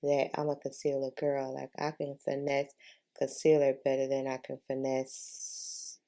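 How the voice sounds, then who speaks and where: A woman's voice: very deep voice, completely flat delivery, unhurried, mellow. A woman talking in a casual, chatty way as a beauty vlogger giving a makeup tutorial, informal and repeating herself for emphasis.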